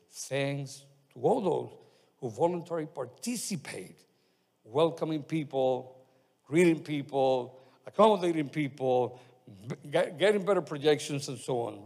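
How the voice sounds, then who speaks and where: A man with a Spanish accent delivers a sermon as pastor, his voice sitting somewhat high. He speaks haltingly, restarting phrases and dropping in amens. His words ring with the long reverb of a church hall. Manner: halting, many restarts and fillers, frequent amens. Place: church sanctuary.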